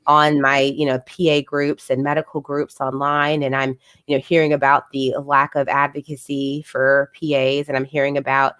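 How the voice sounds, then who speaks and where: A woman, a physician assistant and children's author, talking reflectively in a casual interview with many pauses and fillers. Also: extremely low pitch, level tone, a bit bright, smooth, no room sound.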